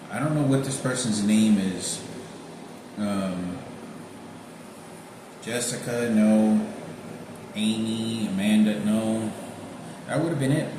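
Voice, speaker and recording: Halting man in a very echoey, noisy room. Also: fairly low pitch. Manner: halting, repetitive, searching for names. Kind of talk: rambling personal monologue recalling memories. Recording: very echoey room with noticeable background noise.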